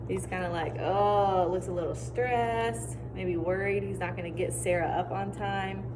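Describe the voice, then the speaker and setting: A woman teacher doing an expressive storybook read-aloud for children, pausing to explain and ask questions. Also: medium pitch. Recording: clear background noise.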